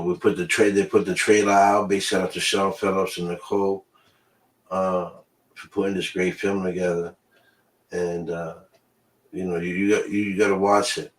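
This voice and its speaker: A man's voice: deep voice, recorded from a distance, poor audio. A man with a Boston accent in casual chat, earnest and full of fillers. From Boston area.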